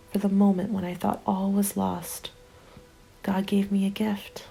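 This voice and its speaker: Weary female apocalypse survivor, played by a woman, ASMR narration: hushed and whispery, dark mellow tone, flat melody, slow delivery. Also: mid-range voice, low-quality recording. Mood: hushed wonder. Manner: hushed, slow, breathy, often dropping to a whisper. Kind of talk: ASMR roleplay, scripted first-person narration.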